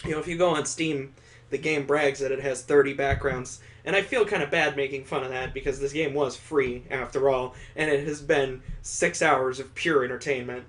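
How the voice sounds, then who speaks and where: A man's voice: mid-range voice, steady tone, normal speed, fairly bright tone. A man hosting a let's play, reading a visual novel aloud and adding sarcastic joking asides.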